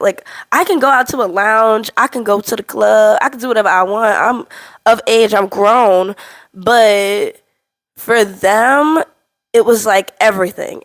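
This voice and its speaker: A woman in her twenties speaks to her camera in a casual, frank vlog, her voice a little stuffy from a cold. She tells her story in an animated, candid way, her pitch sitting in an ordinary middle range. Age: 20s. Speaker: vlogger.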